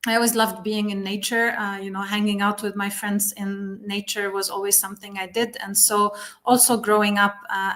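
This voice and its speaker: A woman's voice: mid-range voice, a bit bright. A woman in her forties who grew up in Lebanon, speaking as a webinar panelist with frequent "uh" fillers. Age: 40s. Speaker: panelist, UN division director.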